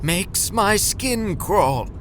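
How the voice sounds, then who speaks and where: A man's voice: expressive, drawn-out words, exaggerated voice. A man playing a kindly middle-aged reporter dad in a children's audio drama, earnest and concerned. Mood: gently admonishing. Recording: loud rumbling vehicle noise behind.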